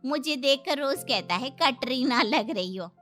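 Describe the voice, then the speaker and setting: A woman's voice: high voice, expressive, a little slow, a bit bright, faint music behind. A woman playing a chatty middle-aged mother in a cartoon story, scolding and confiding by turns. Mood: gossipy. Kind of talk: Hindi children's cartoon storytelling.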